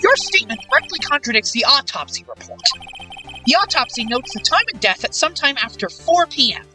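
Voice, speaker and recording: A woman playing a young male defense lawyer in an amateur courtroom game dub, challenging a witness with halting, deliberate delivery. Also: high-pitched, big pitch swings, sharp tone, distant mic, soft background music, exaggerated voice, low-quality recording. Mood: confrontational.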